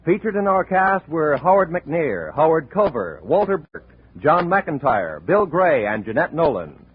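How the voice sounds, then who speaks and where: Male 1950 radio announcer, scripted and animated delivery, thin narrow-band vintage recording. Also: a little high, a little slow, husky. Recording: tinny old radio recording.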